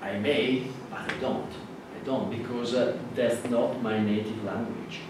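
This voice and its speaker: A man with an Italian accent, a native Italian speaker, giving a classroom lecture in a halting way with many fillers and restarts. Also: medium pitch, steady tone. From Italy. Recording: echoey hall from far off with noise.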